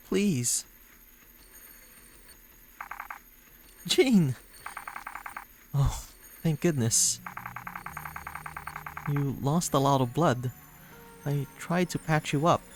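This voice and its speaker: A man voicing a gentle, insecure young man in a dating game, speaking in a halting, earnest, emotional way. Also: fairly high pitch, slight background noise, poor audio. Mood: relieved, tender. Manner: halting, earnest, tender delivery. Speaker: voice actor reading a visual novel. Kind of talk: emotional dramatic game dialogue reading. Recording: music playing under the voice. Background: music.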